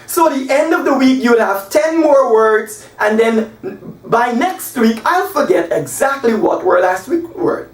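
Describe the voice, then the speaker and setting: A man in his forties from Guyana, teaching an English lesson at a whiteboard and spelling out example sentences slowly and with emphasis. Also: very high-pitched. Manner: animated, gesturing, slow emphatic example sentences. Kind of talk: classroom lesson. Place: small room by a whiteboard. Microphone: camera across the room. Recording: echoey room, voice from a distance.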